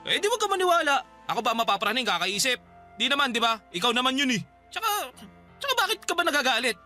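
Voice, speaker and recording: Sharp, high-pitched defensive boyfriend. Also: male voice, big pitch swings, fast talker, soft background music, full character voice. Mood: scornful, blaming. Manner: irritable, dismissive, blustering. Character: defensive, callous young boyfriend dodging blame. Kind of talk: Tagalog radio drama acting.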